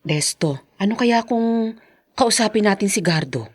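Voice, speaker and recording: A caring neighbour woman in a radio drama. Her voice swings up and down with lively expression as she gossips, coaxes and pleads. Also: mid-range voice, unhurried, breathy edge. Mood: pleading. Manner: expressive, concerned, coaxing. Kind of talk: Tagalog radio drama acting.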